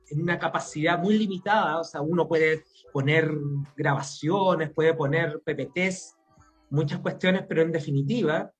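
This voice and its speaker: A man's voice: a little high, fairly bright tone. A middle-aged man with a Chilean accent giving reflective interview answers, repeating words as he thinks. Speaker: interview guest, playwright and academic.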